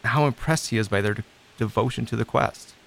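Creaky-voiced, self-doubting man played by an actor. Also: medium pitch, fairly quick, lightly acted. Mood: doubtful, hesitant. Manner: hesitant, vulnerable. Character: despairing, self-doubting, earnest male therapy client. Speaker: actor in a fiction podcast. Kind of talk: scripted audio drama, intimate dialogue.

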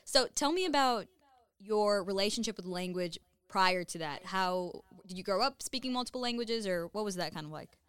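A woman's voice: medium pitch, animated, moderate pace, a bit bright, clean tone, dry recording. A woman asking questions as the interviewer in a conversational interview.

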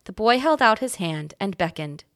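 A woman reading a children's chapter book aloud as a guest reader, with expressive storybook narration. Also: mid-range voice, normal speed, no room sound, studio quality.

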